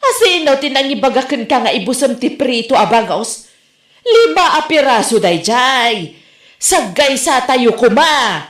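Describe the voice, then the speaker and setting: A woman acts out an exasperated, bossy nag in a comedy drama, with a high, swooping voice. The sound is roomy and echoey. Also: big pitch swings, unhurried, a bit bright, exaggerated voice. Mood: scolding. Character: scolding, exasperated woman bossing her relative around. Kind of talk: Ilocano comedy radio-style drama. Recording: roomy echo on the voice.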